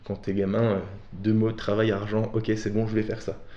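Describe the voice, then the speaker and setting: A man's voice: on the low side, completely flat delivery, fairly quick, warm tone. A man from Belgium talking casually as an interview guest, telling personal stories in a candid, informal, slangy way. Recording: dull, roomy echoing sound.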